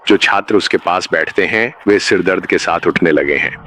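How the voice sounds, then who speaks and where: A man narrating a scripted horror story in a measured, ominous manner. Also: low voice, bright, forceful tone, slight background noise.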